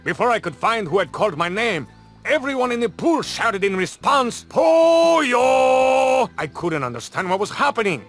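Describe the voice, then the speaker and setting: An actor playing a pompous, wounded champion diver in a video game, telling things in florid, theatrical style. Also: male voice, very high-pitched, big pitch swings, normal speed, fairly bright tone, soft background music, exaggerated voice. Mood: anguished.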